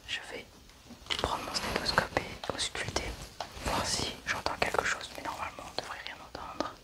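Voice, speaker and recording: A person whispering throughout in an ASMR medical roleplay, calmly and methodically narrating each step as an examiner. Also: normal speed. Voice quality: soft unvoiced whisper.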